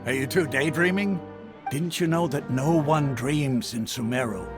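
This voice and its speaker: A man playing a down-to-earth, plain-spoken produce seller in a video game, with an expressive delivery. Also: mid-range voice, a little slow, over music, lightly acted. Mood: dismissive. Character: down-to-earth produce vendor, skeptical and brisk.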